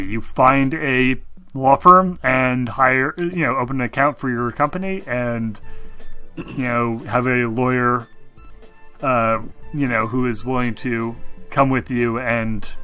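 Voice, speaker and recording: A man running a tabletop game as game master, talking casually and haltingly with some swearing. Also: medium pitch, bright, forceful tone. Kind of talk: casual tabletop game narration over a group call.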